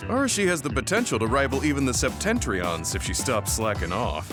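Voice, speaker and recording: A man playing a steady, mature, gently chiding soldier in an English anime game, composed and wry. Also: medium pitch, fairly quick, music in the background, close to an everyday voice.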